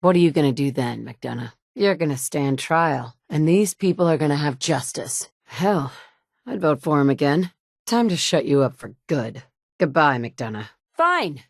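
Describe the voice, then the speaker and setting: Pragmatic survivor, lowish voice, firm and direct, dry studio sound. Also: female voice, animated, normal speed, vocal fry, no room sound, heavily acted. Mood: stern. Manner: firm and direct, shifting between stern and sympathetic. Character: determined adult woman, pragmatic wasteland survivor. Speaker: player character. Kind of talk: game dialogue voice acting.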